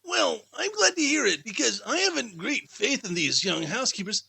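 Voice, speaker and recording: A man voicing a disapproving, impatient young Victorian wife in a drawn-out, scolding manner for a storybook. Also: very high-pitched, very animated, gravelly, pushed and bright, breathy edge, dry recording, voice pushed up, heavily acted.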